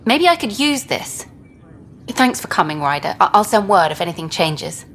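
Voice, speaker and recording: A woman's voice: medium pitch, big pitch swings, moderate pace, a bit bright. A woman playing a wry, principled jailed documentary maker in a video game, speaking in a subdued way. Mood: troubled, subdued. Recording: faint background noise.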